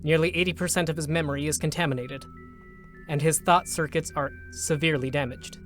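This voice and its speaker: A male narrator with a fairly high-set voice reads dramatic script solemnly. His phrases are short and deliberate, measured out over faint music. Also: steady tone, a bit bright.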